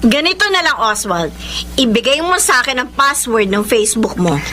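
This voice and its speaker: A woman's voice: fairly high pitch, very animated, normal speed, pushed and bright, slight background noise. A woman playing a jealous, nagging young girlfriend in a Filipino radio drama, accusing and whiny, with a Filipino accent. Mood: insistent, sulky. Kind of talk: Tagalog radio drama acting.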